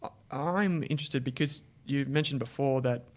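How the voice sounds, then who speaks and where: A man's voice: medium pitch, normal speed. A man interviewing a guest, asking long conversational questions. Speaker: interviewer, podcast host. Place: Australia.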